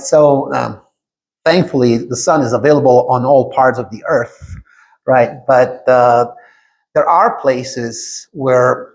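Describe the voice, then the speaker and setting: Guy hosting a reflective podcast interview, average pitch, agrees a lot and checks in. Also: poor audio. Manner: affirming, checks in with "right?".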